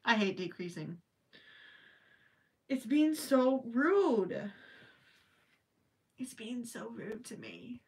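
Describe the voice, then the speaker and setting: A woman's voice: a little high, very animated, drawn-out words, pauses often, darkish tone, distant mic. A young woman with a Minnesota accent chatting casually while crocheting on a livestream, rambling with joking asides. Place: small indoor craft room with yarn shelves.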